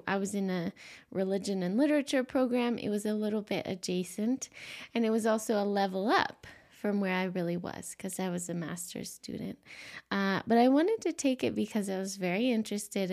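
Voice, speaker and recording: A woman hosting her own podcast in a soft, quiet voice, a little stuffy and sniffly from allergies, talking gently with frequent ums and restarts. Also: mid-range voice. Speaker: podcast host and life coach. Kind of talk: solo podcast monologue.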